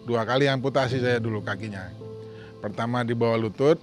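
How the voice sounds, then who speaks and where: A man's voice: medium pitch, a little fast. Man, 50s, from Sumatra, earnest personal testimony, plain-spoken, faint background music. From Sumatra, Indonesia. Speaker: testimonial speaker.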